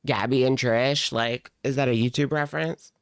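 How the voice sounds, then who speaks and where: Woman, smooth clear voice, dry sound, snarky reaction commentary, slangy, drawn-out emphasis. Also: a bass voice, steady tone, dry recording. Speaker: reaction streamer commenting on a video.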